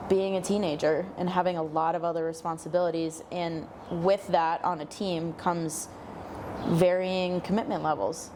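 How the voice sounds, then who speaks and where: Young woman, kinda low voice, explaining stuff clearly as an interview guest, bit of noise behind her. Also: a little mellow. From Minnesota, United States. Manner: articulate, illustrates with quoted examples. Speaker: guest, sports psychology coach.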